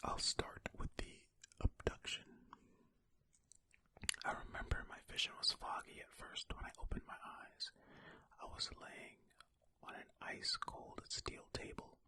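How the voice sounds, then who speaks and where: A fully whispered ASMR voice, breathy and unvoiced, with a bright, crisp edge. The host speaks right up close to the microphone, in a casual way suited to reading stories aloud. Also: unhurried. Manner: casual asides between read-out passages. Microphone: close ear-to-ear ASMR microphone.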